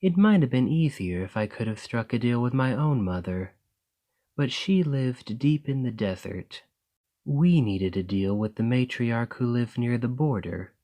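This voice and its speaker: A man voicing a calm, gracious male lamia noble merchant in an ASMR roleplay, speaking softly in a measured, formal way. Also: medium pitch, close to an everyday voice. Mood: reminiscing. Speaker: boss speaking to the listener.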